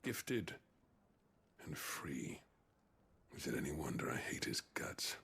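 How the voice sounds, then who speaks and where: An actor playing a blunt, grudge-bearing older blacksmith chief in a video game, with a gravelly voice. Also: male voice, a bass voice, sing-song, slow, bright, forceful tone, whispered, full character voice. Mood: bitter, grudging. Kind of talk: dramatic video game dialogue. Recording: music under the voice.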